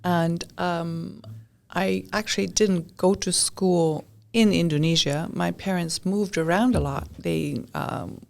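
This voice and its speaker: A woman's voice: lowish voice, fairly even intonation, takes it slowly, vocal fry, darkish tone. A woman in her late sixties with an American accent, recounting her life as a casual podcast guest and repeating short phrases. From Europe. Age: late 60s. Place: podcast studio.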